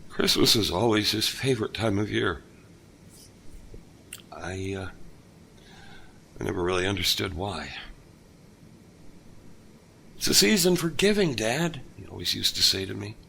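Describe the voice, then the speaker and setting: An actor playing a kind, lonely older father who lost his son, speaking gently with halting pauses. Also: fairly low pitch, expressive, vocal fry, a little hiss or hum, exaggerated voice. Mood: wistful. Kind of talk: audio drama acting.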